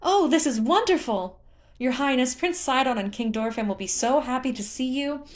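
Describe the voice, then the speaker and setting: Woman acting a bubbly, high-voiced fish-folk girl. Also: normal speed, close to an everyday voice. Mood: excited. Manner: squealing, gushing, cheerful. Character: bubbly, excitable female fish-folk guard.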